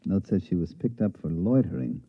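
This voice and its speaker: An actor playing a fast-talking male swindler and promoter in a 1950s radio drama, who also narrates the story with slick salesman patter. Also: deep voice, big pitch swings, husky, heavily acted. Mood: brash. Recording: dull vintage radio recording.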